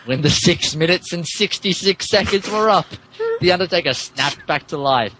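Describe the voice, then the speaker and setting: A man's voice: sits high, moderate pace, noisy background. A man narrating fan fiction on a podcast in a mock-dramatic, emphatic way, amused and stumbling over the text.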